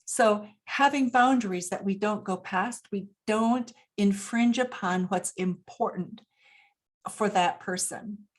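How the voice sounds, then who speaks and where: Deliberate woman explaining, medium pitch. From Japan, grew up there. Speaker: interview guest, business expert. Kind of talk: explanatory interview talk.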